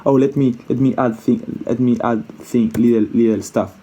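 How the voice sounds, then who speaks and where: Male tutorial presenter, soft mellow tone, informal and hesitant, thinks out loud as he demonstrates. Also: medium pitch, fairly even intonation, moderate pace, a little hiss or hum, poor audio. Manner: informal, hesitant thinking aloud, repeats himself, mild swearing. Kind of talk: casual unscripted screen-share coding tutorial.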